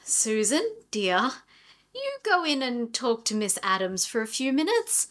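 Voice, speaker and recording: A woman playing a practical, politely firm manager and mother in an old-time radio drama, businesslike and measured. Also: fairly high pitch, big pitch swings, fairly bright tone.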